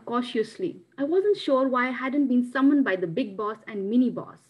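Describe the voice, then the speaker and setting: A woman, an amateur storyteller on a video call with dull, lo-fi sound. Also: on the high side. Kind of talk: reading a written first-person story aloud.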